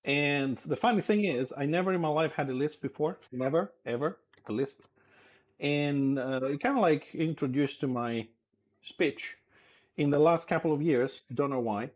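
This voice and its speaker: A Greek man with a slight lisp and a fairly high voice chats easily on a live stream while he cooks at home. His talk is loose and friendly, and he laughs a lot between thoughts. Greek is his first language, and his lisp shows in English. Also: moderate pace. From Greece. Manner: easygoing and chatty, laughs often. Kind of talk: casual live-stream chat while cooking. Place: home kitchen.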